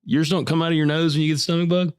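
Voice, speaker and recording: A man and farmer co-hosting a casual podcast, sick with a cough and a deeper voice than usual, blunt and joking. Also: flat delivery, racing, clean tone, no echo, studio quality. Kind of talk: casual chat.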